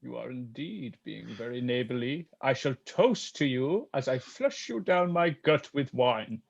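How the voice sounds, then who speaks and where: A man's voice: medium pitch, animated, measured pace, gravelly, highs cut off. A man playing a haughty, menacing troll king who eats humans, speaking in archaic, theatrical threats for a folktale radio play. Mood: gloating.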